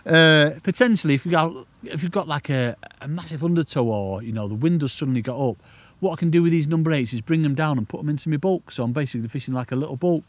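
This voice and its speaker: A man's voice: on the high side, fast, dry recording, dull audio. British man, casual enthusiastic fishing presenter. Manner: enthusiastic, informal, rambling explanations. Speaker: angling presenter demonstrating a rig. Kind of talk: casual instructional chat to camera.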